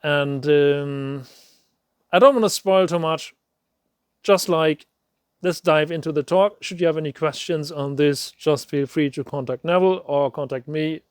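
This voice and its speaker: A man's voice: on the high side, smooth, dry recording, studio quality. A man hosting an online interview, talking hesitantly with many fillers and restarts. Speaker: host.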